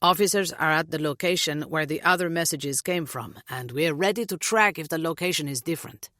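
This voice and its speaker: A woman's voice: deep voice, brisk, a bit bright, no echo, clean studio sound. A woman voicing a worried, insistent father in an audiobook. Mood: urgent. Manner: urgent, pressing.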